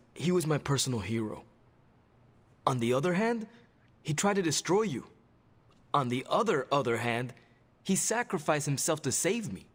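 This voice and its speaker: An actor playing an earnest, conflicted young superhero who hesitates and searches for words in a game cutscene. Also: male voice, on the high side. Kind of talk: video game cutscene acting.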